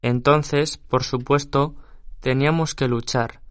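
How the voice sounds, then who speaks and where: Slow, smooth-voiced male reader. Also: medium pitch, steady tone, dry recording, clean studio sound. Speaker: audio reader for a listening exercise. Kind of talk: slow scripted reading.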